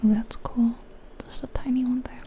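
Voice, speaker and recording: A woman's voice: fairly high pitch, level tone, normal speed, mellow. A woman whispering in an ASMR style, musing to herself as she gives commentary on a video game. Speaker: ASMR creator.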